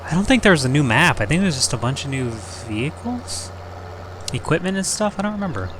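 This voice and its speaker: A young man hosting a farming game let's play, slightly hoarse and rough-voiced, rambling and joking at himself as he thinks aloud. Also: fairly high pitch, big pitch swings, brisk, fairly bright tone, a little hiss or hum. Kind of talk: casual gameplay commentary.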